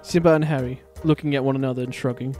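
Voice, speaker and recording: A man's voice: mid-range voice, fairly even intonation, fast, dark tone. A man giving a dramatic narration of a story. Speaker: fan fiction reader. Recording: background music under a dry voice.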